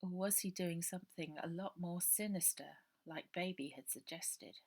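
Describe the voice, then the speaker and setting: A woman's voice: on the low side, normal speed, a little mellow. A woman with an English accent reading scripted narration from a children's story. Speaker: author reading her own children's novel.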